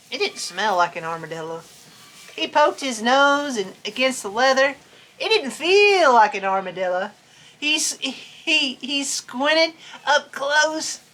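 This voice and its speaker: A woman with a high, bright voice reads a children's story aloud. Her delivery is folksy and playful, and she slips easily between narration and casual chat. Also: very animated, a little slow, a little hiss or hum. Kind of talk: animated storybook reading with casual chatty asides.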